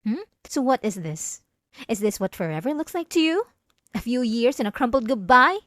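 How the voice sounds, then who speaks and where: A woman performs as a possessive yandere wife, obsessed with her spouse. Her voice is on the high side and sits close and dry, with no room sound. She speaks intimately and theatrically, in the manner of an ASMR roleplay monologue. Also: big pitch swings, a bit bright, no room sound. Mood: menacing, bitter. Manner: intimate, theatrical, drawn-out emphatic phrases.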